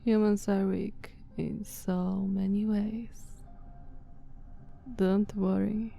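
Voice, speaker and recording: Woman doing a dominant vampire mistress, slavic accent, soft condescending asmr, really slow, faint noise behind. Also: mid-range voice, creaky. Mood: hushed, controlling. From Slavic country. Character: dominant, haughty female vampire master, cold and seductive. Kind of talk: ASMR roleplay.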